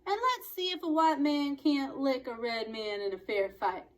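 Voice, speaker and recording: A woman reading aloud plays a feisty little storybook man. The voice is pushed up high, with a drawling twang, and has a cocky, taunting edge with folksy, drawn-out challenges. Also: natural voice. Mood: taunting, combative. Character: scrappy, taunting little toy cowboy man, cocky and mocking. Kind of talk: children's storybook read-aloud.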